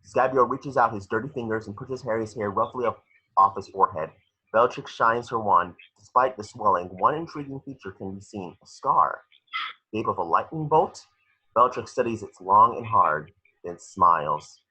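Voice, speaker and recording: A man narrating stage directions for a script table read, in measured, dramatic short phrases. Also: lowish voice, expressive, sharp tone, breathy edge, poor audio.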